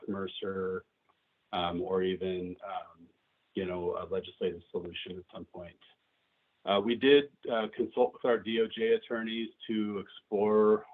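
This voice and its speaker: A man giving a director's update at a board meeting, congested from a cold and talking haltingly with many fillers. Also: on the low side. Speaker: agency director. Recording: tinny phone-like audio.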